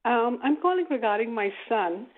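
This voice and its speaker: A woman's voice: on the high side, expressive, moderate pace. A woman calling into a radio show as a mother explains her situation hesitantly, with many fillers. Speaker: caller, a mother. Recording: tinny phone line.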